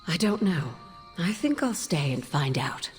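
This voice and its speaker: A woman voicing a gentle, motherly ghost in a game, speaking tenderly and without hurry. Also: fairly low pitch, sing-song, a little slow, music in the background. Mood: tender, wistful. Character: gentle, motherly female ghost watching over loved ones.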